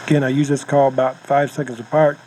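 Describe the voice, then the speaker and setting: A man's voice: mid-range voice, little pitch movement, fast, dark tone. Noisy cassette recording of American man instructing. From United States. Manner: plainspoken, folksy phrasing. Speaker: hunting instructor. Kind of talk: informal instructional narration. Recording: noisy home-style cassette tape recording.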